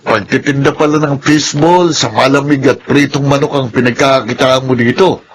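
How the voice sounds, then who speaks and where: A man's voice: mid-range voice, steady tone, moderate pace, soft background music, lightly acted. A man playing a coaxing, pushy ex-convict friend who tempts his buddy back to crime in a radio drama. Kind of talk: classic radio drama acting.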